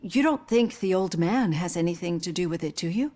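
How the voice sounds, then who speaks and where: A woman's voice: on the low side, expressive, fairly quick, mellow, lightly acted. A woman voicing an earnest psychologist in her fifties in a video game, speaking in a careful, supportive manner. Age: 50s.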